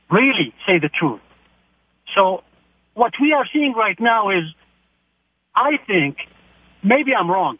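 A man's voice: high-pitched, slightly rough, no echo. A man from Iraq calling in to a radio talk show, earnest and searching as he talks about his situation. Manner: earnest, searching, plain-spoken. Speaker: caller. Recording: phone line.

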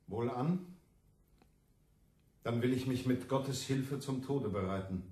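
An actor in a German radio play voicing a stern, merciless preacher who denounces rebels in harsh declamation. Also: male voice, mid-range voice, slow pace, mellow, lightly acted. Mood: grim, distrustful. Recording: echoey room.

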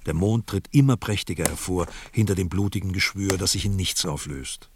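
A man's voice: sits low, fairly quick, noisy background, natural voice. A man narrating a grim village tale in a radio play with grave, dramatic delivery.

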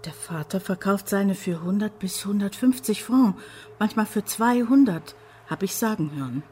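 A subdued, devout mother played by a woman in a radio play, with a soft, dark-toned voice of middle pitch. She speaks cautiously and with resignation, over faint ambience. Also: moderate pace, a little hiss or hum, natural voice. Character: meek, pious, resigned middle-aged mother and wife. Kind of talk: radio drama acting.

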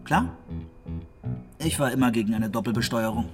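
An actor playing a breezy small-time hustler in a radio drama, talking in a casual, prodding, persuasive way. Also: male voice, medium pitch, normal speed, music in the background, lightly acted. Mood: pushy.

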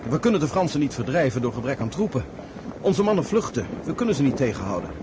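An actor in a radio drama playing an anxious, deferential army officer, speaking urgently and formally. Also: male voice, mid-range voice, very animated, quick pace, natural voice. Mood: anxious urgency. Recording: outdoor battle noise behind, off-mic.

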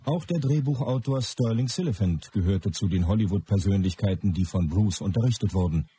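Male narrator, very husky, lowish, matter-of-fact, radio play, faint music. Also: fairly quick, dark tone. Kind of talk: scripted narration in radio drama.

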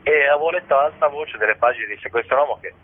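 A man interviewed on radio by phone as a theatre guest, explaining haltingly and repeating words as he goes. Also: medium pitch, husky. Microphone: telephone. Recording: muffled phone call on radio.

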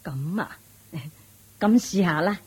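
A woman's voice: on the low side, animated, slow pace, a little mellow, slightly rough, heavily acted. A woman playing a haughty, domineering rich matriarch and mother-in-law in a radio drama, scolding and mocking. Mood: polite. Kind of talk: Cantonese radio drama acting.

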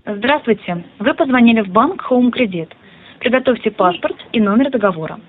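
A woman delivers a scripted automated telephone greeting in a somewhat high voice. The sound is thin and phone-like, with slight line noise behind it. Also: lively intonation, moderate pace. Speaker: bank phone-line announcer. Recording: noisy narrowband telephone line.

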